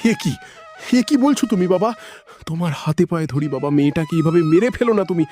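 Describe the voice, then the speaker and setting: A man's voice: high voice, animated, a little fast, darkish tone. A man playing a poor, ageing labourer father in a cartoon drama, humble and pleading. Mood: desperate pleading. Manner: pleading, deferential. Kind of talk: Bengali cartoon drama acting. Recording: music under the voice.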